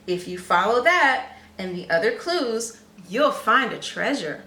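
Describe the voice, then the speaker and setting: A woman voicing a male park ranger in a storybook, announcing treasure hunt rules in a deliberate way. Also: on the high side, big pitch swings, bright, forceful tone, heavily acted. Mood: official, announcing. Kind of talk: storybook read-aloud. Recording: roomy, echoey, slightly distant microphone.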